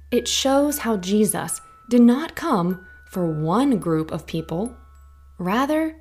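A woman hosting a children's Bible story podcast, narrating a scripted story in a gentle, clear, unhurried way. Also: mid-range voice.

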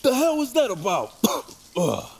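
A man playing a frightened, flustered and foul-mouthed city man in an audio drama, nervous and excitable. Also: very high voice, unhurried, creaky, breathy edge, full character voice. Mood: annoyed, bewildered. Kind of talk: fan audio drama acting.

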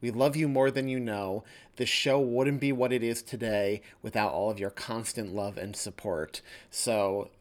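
A man's voice: fairly low pitch, fairly even intonation, measured pace. A man hosting a podcast, introducing the episode in an effusive, grateful way. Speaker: podcast host.

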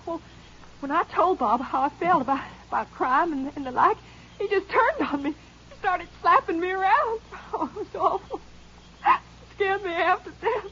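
A woman's voice: extremely high pitch, animated, moderate pace, bright, forceful tone, lo-fi sound, slight background noise, full character voice. An actress playing a scheming young woman who fakes fright and tears, stammering in 1950s radio drama style on an old broadcast. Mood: sobbing. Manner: breathy, fake sobbing, stammering.

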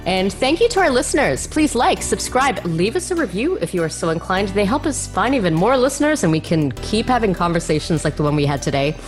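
A woman speaks as a podcast host, with a pitch that moves around animatedly. Her delivery is effusive and expressive, suited to chatting with a guest and addressing listeners. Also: a little fast, few pauses, soft background music. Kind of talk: warm interview chat and show sign-off.

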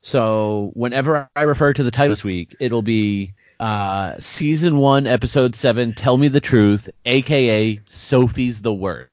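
A man co-hosting a podcast in casual chat, joking, animated, often restarting his sentences. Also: mid-range voice, a little slow, hardly any pauses, dry recording. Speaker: podcast co-host. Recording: dry, dull lo-fi sound.

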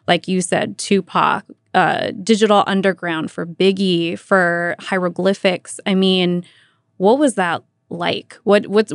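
A woman's voice: fairly low pitch, no room sound, clean studio sound. An American woman working as a radio host, chatting informally in a casual interview and often dropping in "like" and "you know".

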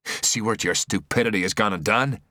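A man's voice: lowish voice, moderate pace, bright, forceful tone, dry recording, clean studio sound, voice pushed up. A man acting a curt, scornful gunman rescuer. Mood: furious. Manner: curt, scolding, authoritative. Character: stern, scornful undercover rescuer with a gun.

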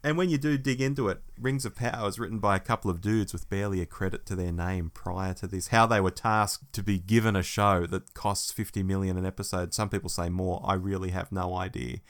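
Male co-host with a fairly low voice, informal fan chat, emphatic and opinionated. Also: steady tone, a little fast, few pauses. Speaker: podcast co-host. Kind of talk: casual fan podcast chat.

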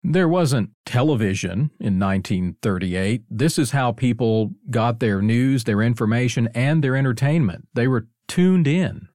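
A man's voice: mid-range voice, lively intonation, a little slow, few pauses, darkish tone, dry recording, studio quality. A middle-aged or older man hosting an interview, chatty and conversational, prompting his guest with questions. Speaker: interview host.

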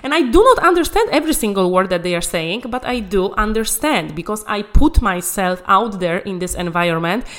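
A woman's voice: medium pitch, lively intonation, brisk, few pauses, fairly bright tone. Emphatic woman coaching. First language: Polish. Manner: emphatic, encouraging, repeats key phrases. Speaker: English conversation coach. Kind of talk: motivational language-learning talk.

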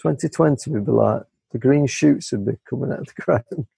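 A man's voice: medium pitch, brisk, vocal fry, mellow, slightly rough, no room sound. A middle-aged man on a podcast, throwing in dry joking quips. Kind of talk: casual chat.

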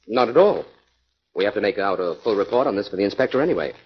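An actor with an American accent playing a confident, analytical crime-solver in a 1940s radio drama, speaking in an assured, explanatory way. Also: male voice, on the low side, animated, quick pace, breathy edge. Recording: dull 1940s radio recording.

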